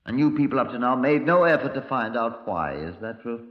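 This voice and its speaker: An actor playing a brusque, sardonic Scotland Yard chief inspector in a 1950s radio crime drama. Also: male voice, medium pitch, lively intonation, a little fast, natural voice. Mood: brusque, impatient. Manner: brusque, dry, sarcastic. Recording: dull, lo-fi 1950s radio recording.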